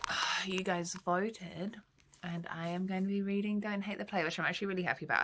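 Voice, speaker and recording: A young woman, chatting frankly to camera in a vlog with lots of fillers, her voice a bit croaky from being unwell. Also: fairly low pitch, heavy background noise. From New Zealand. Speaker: book vlogger. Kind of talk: casual chat to camera.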